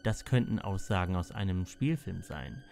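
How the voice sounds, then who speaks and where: Male documentary narrator with lively intonation. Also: low voice, normal speed, gravelly, faint music behind. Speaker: narrator of a mystery audio report.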